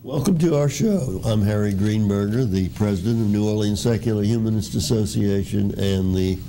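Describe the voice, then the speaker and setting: A man hosting a talk show, introducing guests in a measured, formal way. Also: lowish voice, warm tone, slight background noise, poor audio. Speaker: host.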